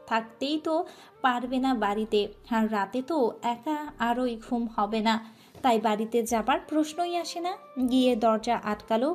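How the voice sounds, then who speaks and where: A woman narrating a scripted romantic audio story in a soft, emotive way. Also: on the high side.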